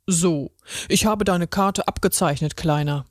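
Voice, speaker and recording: A woman reading a children's story, voicing a gentle male pen who works as a ticket inspector, with a deep voice and an encouraging manner. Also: a little slow, dry recording, studio quality, close to an everyday voice. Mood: friendly, curious.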